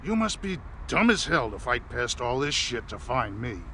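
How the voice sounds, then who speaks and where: An actor playing a gruff, suspicious older American war veteran who builds engines, brusque and challenging, in a video game. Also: male voice, mid-range voice, sing-song, normal speed, bright, forceful tone, a little hiss or hum. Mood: gruff challenge. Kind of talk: video game cutscene acting.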